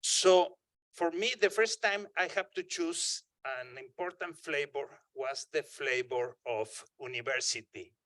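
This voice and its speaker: A man from Mexico with a Mexican accent, giving a jokey, animated conference talk. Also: a little high, unhurried, no room sound. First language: Spanish. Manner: animated, jokey, with bursts of emphasis. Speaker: conference speaker. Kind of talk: humorous keynote talk. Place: conference hall podium.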